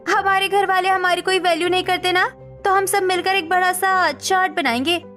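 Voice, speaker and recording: Supportive, assertive young daughter-in-law female actor, high-pitched, animated family drama, music bed. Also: moderate pace. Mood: excited. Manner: earnest, firm.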